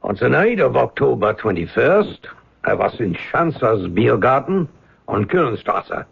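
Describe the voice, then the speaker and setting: An actor playing a deferential, wary German witness in a radio drama, speaking stiffly and haltingly with a German accent. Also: male voice, medium pitch, big pitch swings, vocal fry, husky, full character voice. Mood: earnest testimony. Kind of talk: 1970s radio drama acting. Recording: dull lo-fi old radio recording.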